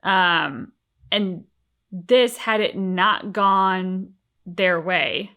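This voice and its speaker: A woman's voice: on the low side, extremely slow, sharp tone. A woman co-hosting a reaction show, discussing casually in a reflective, articulate way.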